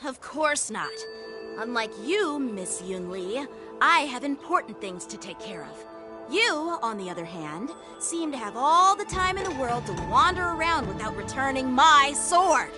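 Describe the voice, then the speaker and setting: A woman's voice: high-pitched, sing-song, unhurried, music in the background. An actress voicing an earnest, proud teenage boy swordsman in a video game, indignant and emphatic. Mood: irritated, proud.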